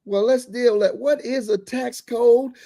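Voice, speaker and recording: A man's voice: extremely high pitch, steady tone, a little slow, creaky, warm tone. A man hosting a church broadcast interview, emphatic and preacherly, laughing often as he talks. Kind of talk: enthusiastic interview host.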